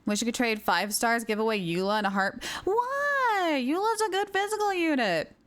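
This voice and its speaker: A woman's voice: sits high, sing-song, moderate pace, a bit bright. Chatty woman livestreaming a game. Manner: chatty, thinking aloud. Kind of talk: casual livestream chat, reading and answering viewer comments. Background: faint game music.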